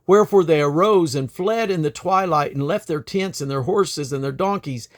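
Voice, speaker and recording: A man reading the Bible aloud in a deliberate, expressive way. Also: a little high. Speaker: scripture reader.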